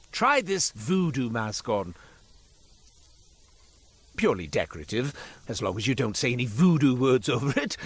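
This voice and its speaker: Flamboyant devilish merchant, wildly swooping. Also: male voice, mid-range voice, husky, full character voice. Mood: flattering, gushing. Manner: florid, theatrical, ingratiating salesman patter. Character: flamboyant devilish roadside curio merchant, male, wheedling and grandiose. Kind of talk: theatrical video game character dialogue.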